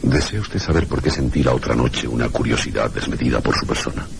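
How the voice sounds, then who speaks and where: An actor with a Castilian Spanish accent playing a mysterious, scholarly gentleman guide in a radio drama, speaking formally and deliberately in a gravelly voice. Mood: formal, curious. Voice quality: very deep, gravelly. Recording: noisy radio drama recording.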